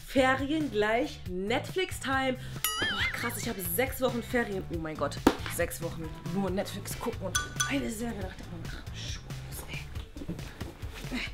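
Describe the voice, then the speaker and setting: A young woman playing a series-addicted teenage slacker in a comedy sketch, slangy with exaggerated exclamations. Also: a little high. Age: young adult. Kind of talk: acted comedy sketch for online video. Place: living room, on a sofa. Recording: music behind the voice.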